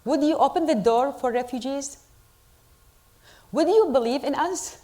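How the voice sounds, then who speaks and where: Middle-aged Iraqi-born woman, fairly high voice, earnest deliberate speech to a crowd, English. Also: mellow. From Iraq. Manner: earnest, deliberate, with pauses for emphasis. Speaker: storyteller at a community event. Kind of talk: speech to a live audience.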